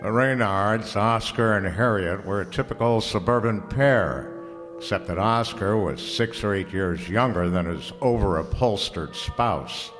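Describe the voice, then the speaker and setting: Casting: low, wry gumshoe played by a man, laconic wisecracks, radio noir style, music and hall reverb. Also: measured pace. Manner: deadpan, wisecracking. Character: wry, laconic male private detective narrating his case. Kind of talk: old-time radio detective narration and acting.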